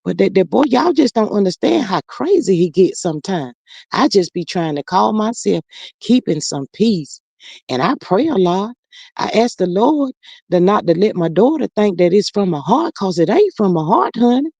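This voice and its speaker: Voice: medium pitch, very animated, moderate pace, creaky, a little mellow, dry recording. Someone putting on a fretful old mama. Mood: gossipy chatty. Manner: stammering fillers, calls everyone honey and child. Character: older woman, a fretful, chatty mother. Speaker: livestream character reading viewer comments. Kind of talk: improvised comedic character livestream.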